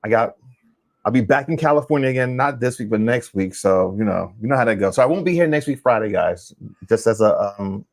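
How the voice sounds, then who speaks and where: A man with a mid-pitched voice that moves up and down in a lively way. He chats casually, as a regular co-host would. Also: fairly quick. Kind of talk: casual chat.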